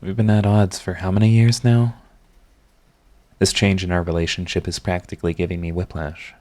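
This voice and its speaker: A man playing a proud yet tender, lovestruck villain in a romantic ASMR audio roleplay, speaking in a halting, intimate, earnest way. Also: deep voice, little pitch movement, a little mellow, close to an everyday voice. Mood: tender, relieved.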